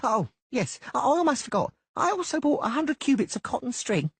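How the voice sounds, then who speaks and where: A man's voice: very high voice, very animated, fairly quick, fairly bright tone, breathy edge, no echo, pitched above the performer's own voice, heavily acted. A man voicing a male shape-shifting doppler who poses as a halfling merchant, stuttering and reeling off lists with pride. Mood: eager. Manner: stuttering, rattles off lists proudly.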